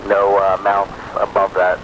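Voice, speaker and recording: A man with a fairly low voice and an American accent talks casually over two-way radio. Clear background noise sits under his voice, and his delivery is somewhat halting and informal. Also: monotone, lo-fi sound. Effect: two-way radio transmission. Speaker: amateur radio operator on a group net.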